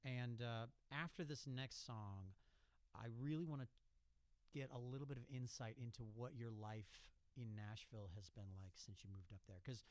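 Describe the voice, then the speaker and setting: Casual male radio host, middle-aged, mid-pitched, close and dry on a studio mic. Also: moderate pace, darkish tone, dry recording. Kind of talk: casual live music show interview. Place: small indoor studio. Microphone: close studio mic on a boom arm.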